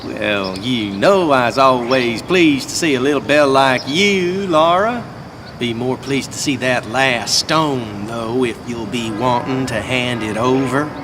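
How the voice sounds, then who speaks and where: A man's voice: mid-range voice, a little slow, background noise, lightly acted. A man voicing a dim-witted, easygoing cowboy henchman in a video game, with a folksy Southern US drawl. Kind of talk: video game cutscene voice acting. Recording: music behind the voice. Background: game music.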